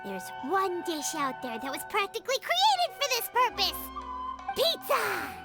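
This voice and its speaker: Squeaky excitable fairy companion. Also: female voice, unhurried, heavily acted. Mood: eager. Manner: chirpy, eager, talks about herself in third person. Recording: game audio under background music.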